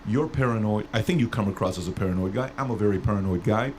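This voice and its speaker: Low-voiced rambling host from Iran. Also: male voice, darkish tone, a little hiss or hum. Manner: rambling, repetitive, slows to stretch words. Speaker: podcast host. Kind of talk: casual interview talk.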